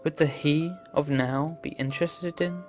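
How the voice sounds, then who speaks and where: A man's voice: a little high, fairly even intonation, measured pace. A man reading visual novel text aloud in an earnest, theatrical way as a game playthrough host. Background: game music.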